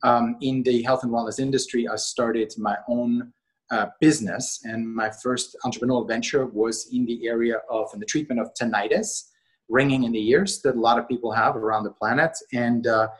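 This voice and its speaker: A middle-aged man from Austria speaks as a guest on a talk show. His voice is husky and his pitch is ordinary for a man. He talks in a measured, unhurried way and pauses often on small fillers. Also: steady tone, rough recording. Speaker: guest, company co-CEO. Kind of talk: interview conversation.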